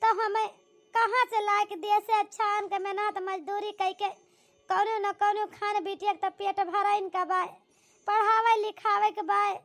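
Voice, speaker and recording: Woman playing cartoon widowed mother, Awadhi accent, very high pitch, slow pace, rustic, doting, coaxing. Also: flat delivery, a bit bright, soft background music, full character voice. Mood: earnest, determined. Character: doting, hard-working widowed village mother. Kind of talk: homemade cartoon comedy drama dialogue.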